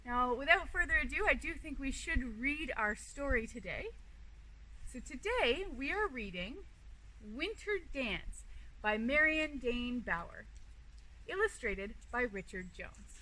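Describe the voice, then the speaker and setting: High, animated woman educator and storyteller. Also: measured pace, low-quality recording. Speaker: wildlife educator and storytime host. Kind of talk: educational talk and children's storybook narration. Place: outdoors beside an animal enclosure. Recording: outdoor recording with faint background noise.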